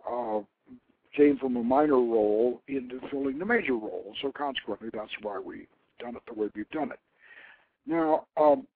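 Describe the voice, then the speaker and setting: Halting male radio host, phone-like sound. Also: medium pitch, no echo. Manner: halting, repeats and rephrases words. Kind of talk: informal explanatory talk, taking calls. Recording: narrow phone-like internet radio line.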